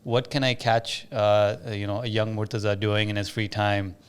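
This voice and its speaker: Man, slightly low pitch, podcast host, casual conversational interviewing. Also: little pitch movement, moderate pace, smooth. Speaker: podcast host, business owner.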